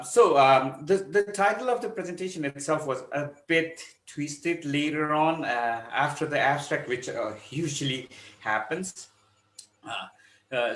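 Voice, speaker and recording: A middle-aged man gives a conference presentation over a video call, explaining things carefully and pausing to find his words. His voice sits at an ordinary pitch. The sound carries a noticeable room echo. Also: fairly bright tone. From Nepal. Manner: measured, explanatory, pauses often to find words. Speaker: conference presenter, architecture researcher. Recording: roomy echoing sound.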